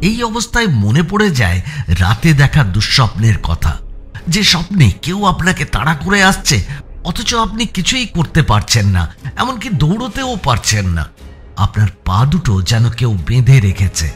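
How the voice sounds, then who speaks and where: Animated man narrating a suspense thriller. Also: medium pitch, a little slow. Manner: suspenseful, theatrical delivery. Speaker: story narrator. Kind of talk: dramatic scripted thriller narration. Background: background music.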